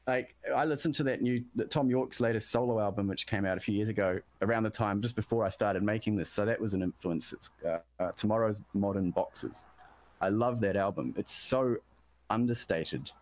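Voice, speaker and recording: A deep-voiced man, a musician guest from New Zealand, chatting casually about production and often restarting his sentences. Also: fairly quick, a little mellow, no echo. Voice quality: deep bass voice. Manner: rambling, self-interrupting, searching for words.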